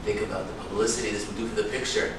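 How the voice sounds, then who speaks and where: Distant noisy recording, man playing cool sardonic screenwriter, lowish voice, defiant, stage drama. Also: level tone, racing, close to an everyday voice. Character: cool, defiant male screenwriter suspected of violence. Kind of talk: staged play reading. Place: room at a live staged reading. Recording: echoey room, distant mic, noisy.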